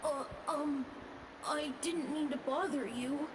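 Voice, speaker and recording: A woman's voice: high-pitched, steady tone, slow, background noise. A woman voicing a timid, apologetic young boy ghost in a video game. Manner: timid, hesitant.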